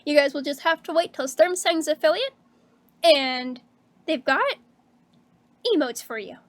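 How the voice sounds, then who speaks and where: A woman streaming casually, chatting with viewers and laughing often, with a slightly hoarse voice. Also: high voice, a little slow, no echo. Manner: bubbly and chatty, laughs often, many fillers like "um" and "like". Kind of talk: casual stream chat reading out viewer messages.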